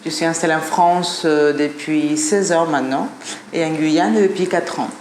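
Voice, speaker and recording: A woman's voice: sits low, moderate pace, darkish tone. A woman of forty from Colombia, speaking French with a Colombian Spanish accent as she gives a personal testimonial. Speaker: programme participant, gallery owner. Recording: roomy echo, faint background noise.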